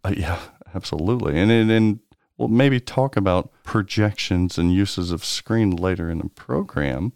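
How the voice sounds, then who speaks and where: Man, podcast co-host, low voice, dry recording, rambling casual chat. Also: expressive, normal speed, gravelly, a little mellow, dry recording. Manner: rambling, lots of restarts and fillers. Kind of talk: casual chat about pinball news.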